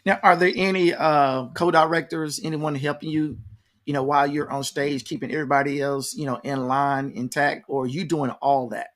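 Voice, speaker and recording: An American man hosting a casual interview, asking questions in informal, halting phrases. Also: a little high, fairly even intonation, fairly bright tone. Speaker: interviewer, host.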